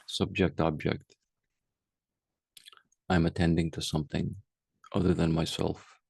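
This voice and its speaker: A man guiding a meditation as a teacher, speaking calmly and unhurriedly with long pauses. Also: low-pitched, vocal fry, husky, no room sound. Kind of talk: guided meditation, unscripted.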